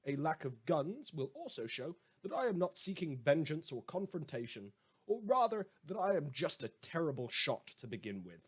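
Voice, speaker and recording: A man's voice: on the high side, very animated, moderate pace, fairly bright tone, breathy edge, exaggerated voice. A man playing a sardonic, mock-grandiose radio host turned self-appointed lawman in a scripted audio drama monologue, rambling and repeating himself. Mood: wry, smug. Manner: sarcastic, rambling, mock-grandiose, hesitant repetitions.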